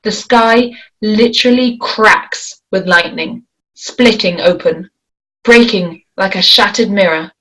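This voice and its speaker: A woman's voice: medium pitch, takes it slowly, a bit bright, low-quality recording. Woman reading stage directions. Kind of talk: table read narration.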